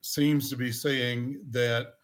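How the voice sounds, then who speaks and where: A man hosting an interview, asking questions in a measured way with frequent "uh" fillers. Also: mid-range voice, little pitch movement. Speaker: interview host. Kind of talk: conversational interview.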